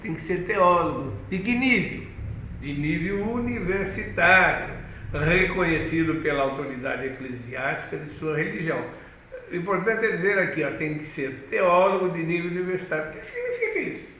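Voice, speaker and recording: A middle-aged man teaching as a pastor, in a higher, bright, forceful voice. He chats straight to the viewer and acts out the questions of imagined students. The sound has a strong room echo and a faint hiss behind it. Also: sing-song, moderate pace. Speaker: pastor and course director. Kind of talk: online course lesson.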